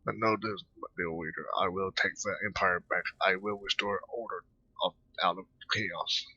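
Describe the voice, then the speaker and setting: Husky, low-voiced man rambling over gameplay. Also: fairly even intonation, pushed and bright. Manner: rambling, halting. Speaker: gaming video creator. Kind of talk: casual gameplay commentary. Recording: dull, close dry microphone.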